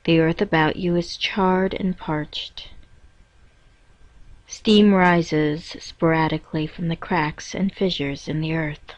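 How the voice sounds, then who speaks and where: A woman reading a scripted guided meditation in a measured, instructing manner with pauses between phrases. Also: low-pitched, fairly even intonation. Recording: dull, roomy echoing lo-fi recording.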